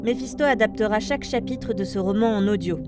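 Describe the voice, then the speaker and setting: A woman's voice: mid-range voice, a little fast. A woman reading scripted podcast news as presenter, addressing listeners like an announcer. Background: light music.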